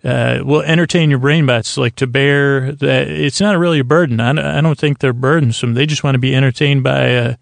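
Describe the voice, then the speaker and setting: A man with a creaky voice hosting a sleep podcast, talking in a meandering, halting, whimsical monologue that circles and over-describes. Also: medium pitch, fairly even intonation, no echo. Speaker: podcast host. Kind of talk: rambling bedtime monologue to help listeners sleep.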